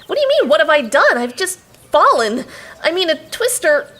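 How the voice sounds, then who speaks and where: A woman's voice: high voice, very animated, normal speed, bright, forceful tone, full character voice. A woman playing a bewildered, earnest young girl in an audio drama, flustered and stammering.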